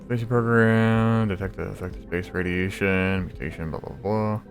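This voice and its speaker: A man's voice: low-pitched, steady tone, normal speed. A man giving casual, blunt game commentary with swearing, muttered asides and bits of text read aloud. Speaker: gaming let's player. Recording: loud game audio underneath. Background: game music.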